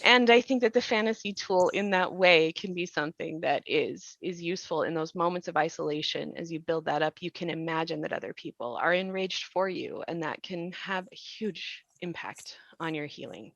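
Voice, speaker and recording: A woman talking earnestly and deliberately in a reflective podcast discussion, offering supportive advice. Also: on the low side, gravelly, a bit bright, dry recording, highs cut off. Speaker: podcast panelist and creator.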